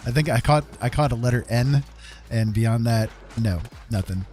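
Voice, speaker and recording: A man's voice: mid-range voice, normal speed, music in the background. A man giving casual live gameplay commentary as a streamer, chatty and thinking aloud. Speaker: game streamer, host.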